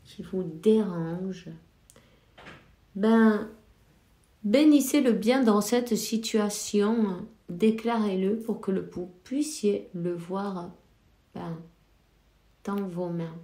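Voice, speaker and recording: A woman's voice: mid-range voice, mellow, roomy sound. A woman with a cold giving casual spiritual advice as a card reader, hesitant and full of fillers. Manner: slow, hesitant, many fillers. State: has a cold.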